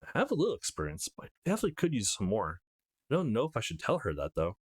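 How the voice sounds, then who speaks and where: A man reads as an awkward, easily flustered young man, the hero of a visual novel. He sounds casual and a little hesitant, with very changeable pitch. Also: huge pitch swings, racing, husky.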